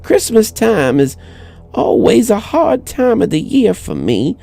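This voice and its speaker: Elderly grieving widow male reader acting her: heavily gravelled, mellow, wistful and halting, audio horror story. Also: high voice, wildly swooping pitch, faint music behind, voice pushed up, heavily acted. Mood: sad, reminiscing.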